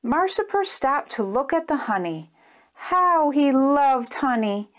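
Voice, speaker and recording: A woman reads a children's storybook aloud. Her voice sits fairly high and moves with lots of melody. She takes her time, speaking expressively as a storytime host does for small listeners. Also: slow. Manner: expressive, simple phrasing aimed at young children.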